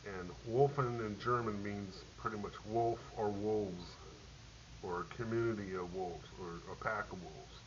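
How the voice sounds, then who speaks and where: A middle-aged man, a military veteran, telling a rambling personal war story, halting with many ums and restarts. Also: on the low side. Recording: dull lo-fi audio with faint hiss.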